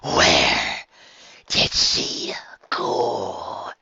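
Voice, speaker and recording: A fierce female pony from a storybook, as a narrator puts her on. She is furious but can coax sweetly, with a bright, very mobile voice. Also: sits low, very animated, very slow, gravelly, husky, no room sound, muffled recording, pitched below the performer's own voice, heavily acted. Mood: enraged demand. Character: furious female earth pony mare, sweet-talking when needed. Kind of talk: storybook fan fiction character voice.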